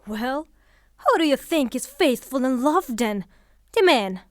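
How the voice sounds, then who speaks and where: Lady acting a proud dramatic widow for an audio drama, highish voice, drawn out delivery. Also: lively intonation, measured pace, no echo, clean studio sound. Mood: sarcastic. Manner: haughty, dramatic. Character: proud, passionate young widow, easily affronted.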